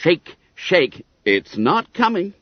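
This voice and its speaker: A man voicing hearty, indignant schoolboys in a story reading, brisk, accusing and boisterous. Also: high voice, sing-song, dry recording, muffled recording, pitched above the performer's own voice, full character voice. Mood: gleefully bullying.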